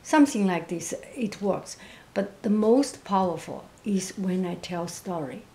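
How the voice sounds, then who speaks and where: Slow, soft-spoken woman, non-native English. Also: mid-range voice. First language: not English. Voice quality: monotone, quiet, soft. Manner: hesitant, gentle, halting. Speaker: interviewee, painter and teacher. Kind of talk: reflective interview answers.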